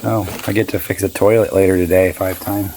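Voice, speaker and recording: A man in his fifties with a raspy, hoarse voice, chatting casually and rambling through a boat repair vlog. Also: sits low, fairly even intonation, quick pace, noisy background. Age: 50s. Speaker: boat owner vlogger.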